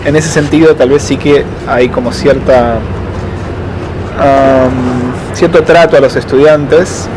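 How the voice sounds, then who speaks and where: A man's voice: medium pitch, steady tone. Thoughtful man, outdoors by traffic. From Argentina. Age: 30s. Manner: thoughtful, hesitant, many fillers and slow pauses. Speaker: interviewee, doctoral student. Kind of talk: casual interview. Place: outdoors in a city. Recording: outdoor street noise.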